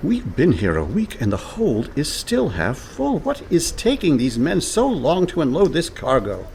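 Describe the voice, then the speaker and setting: A man's voice: a little high, wildly swooping pitch, measured pace, creaky, a little mellow, slight background noise, full character voice. An actor playing a cheerful, scheming and overconfident con man in a comic radio play, breezy and persuasive.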